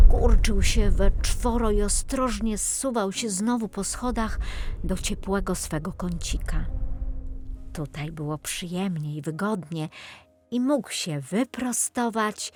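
A woman narrating a children's fairy tale in an expressive, dramatic storytelling manner. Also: fairly low pitch, a little hiss or hum. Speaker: lead audiobook narrator.

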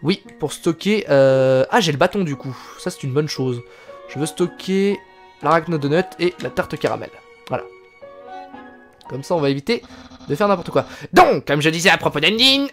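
A man's voice: a little high, expressive, moderate pace, a bit bright, faint music behind. A young man in his twenties chatting casually while playing a game on stream, reading text aloud and answering chat. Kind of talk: casual live gameplay commentary. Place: indoors, gaming chair at home.

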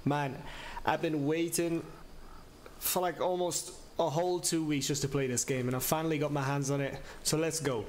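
A young man reacting excitedly and swearing casually while playing, on a headset mic. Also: on the high side, normal speed, a little hiss or hum. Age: young adult. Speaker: gaming streamer. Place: small room at home. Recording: voice over loud game music.

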